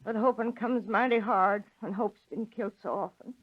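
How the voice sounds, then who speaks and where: A woman's voice: mid-range voice, steady tone, normal speed, lightly acted. Lady playing tired old country ma, plaintive, grieving, dull old radio recording. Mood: weary, pleading. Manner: plaintive, folksy. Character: weary, grieving older mill-town mother, protective of her son. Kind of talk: 1930s radio acting.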